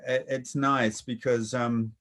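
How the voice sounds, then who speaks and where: A man's voice: mid-range voice, little pitch movement, slow, fairly bright tone. A man hosting a casual conversation, reflecting at length and rambling through his points. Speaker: interviewer. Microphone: video call.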